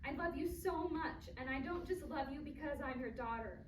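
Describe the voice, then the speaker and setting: Woman acting a high-voiced, hurt, angry daughter. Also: moderate pace, lightly acted. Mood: impassioned, hurt. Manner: emphatic, impassioned. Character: hurt, pent-up angry adult daughter, a grandmother herself. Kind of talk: staged play reading. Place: performance space, recorded from afar. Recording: echoey distant stage recording, clear noise.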